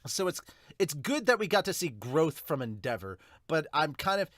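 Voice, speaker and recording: A man's voice: a little high, sing-song, normal speed, a bit bright, dry recording, studio quality. A man hosting a podcast, giving a casual, unscripted reaction and review in a rambling, informal, sweary way. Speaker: podcast host reviewing manga.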